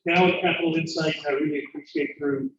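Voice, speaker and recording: A man's voice: on the high side, steady tone, quick pace, a crowd in the background. Hesitant man introducing a speaker, echoey room. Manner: conversational, hesitant with many "uh" fillers. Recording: echoey room, from far off, dull lo-fi sound.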